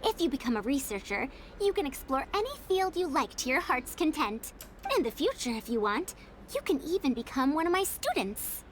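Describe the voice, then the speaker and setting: Proud, high-voiced lady scholar. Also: moderate pace, a little hiss or hum. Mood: patient, lecturing. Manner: lecturing, self-important, animated. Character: proud, theatrical female scholar and inventor, patient with children. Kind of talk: anime-style video game voice acting.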